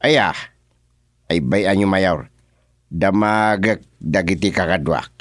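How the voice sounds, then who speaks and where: A man's voice: sits low, slow, fairly bright tone, no echo, clean studio sound. A man in an Ilocano radio comedy drama playing a nervous, deferential village chairman who hesitates and stammers. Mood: nervous, evasive.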